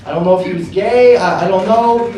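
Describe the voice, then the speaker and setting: A man in his thirties with a lisp, doing a stand-up comedy set on a handheld mic, with a halting, deadpan delivery. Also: high-pitched. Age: 30s. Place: comedy club with audience laughter. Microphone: handheld stage mic, amplified. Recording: echoey club recording from far off with room noise.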